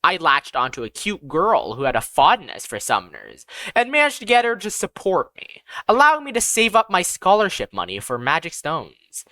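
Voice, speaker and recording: Bright, lively audiobook narrator with expressive pitch. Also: no room sound. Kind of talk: scripted first-person story narration.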